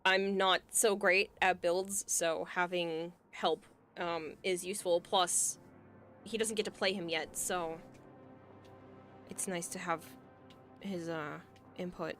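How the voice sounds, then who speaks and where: A woman streaming a game and chatting casually, thinking aloud as she plans, her voice going hoarse. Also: on the low side, steady tone, slow pace, soft background music. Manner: thinking aloud, hesitant, soft at times. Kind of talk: casual game livestream chat.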